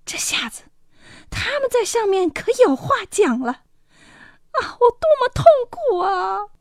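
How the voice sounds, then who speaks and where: A woman's voice: very high-pitched, sing-song, slow pace, gravelly, dry recording, clean studio sound, heavily acted. A woman voicing a proud, bitter young girl in a dramatized fairy tale, with plaintive, self-pitying delivery. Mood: tearful anguish.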